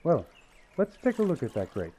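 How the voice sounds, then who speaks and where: An actor playing a courteous, measured doctor who mentors and plans in an audio drama. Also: male voice, on the high side, wildly swooping pitch, warm tone, a little hiss or hum. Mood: thoughtful. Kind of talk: radio-play acting.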